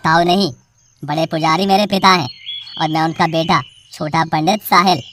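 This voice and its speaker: A woman's voice: low voice, fairly even intonation, moderate pace, fairly bright tone, a little hiss or hum. A woman voicing an arrogant young man, a priest's son, in a cartoon story, speaking in a bossy, scornful tone.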